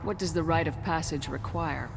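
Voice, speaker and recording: An actress playing a human space commander in a video game, direct, businesslike and matter-of-fact. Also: female voice, low voice, moderate pace, gravelly, noisy background. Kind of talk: video game cutscene acting.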